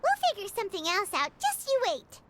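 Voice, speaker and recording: A woman voicing a tiny, childlike fairy companion in a video game, squeaky, eager and earnest. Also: wildly swooping pitch, brisk, noisy background, full character voice. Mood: encouraging. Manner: eager, refers to herself by name.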